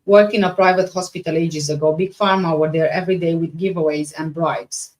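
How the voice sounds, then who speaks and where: Low-pitched Romanian woman chatting on a livestream. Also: fairly even intonation, a little fast, poor audio. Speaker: livestream host. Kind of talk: casual livestream chat, answering viewer comments.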